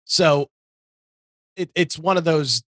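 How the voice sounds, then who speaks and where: A man's voice: fairly high pitch, slow pace, a bit bright, no room sound. A man on a talk panel, casually arguing a point with stammering restarts. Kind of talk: casual debate.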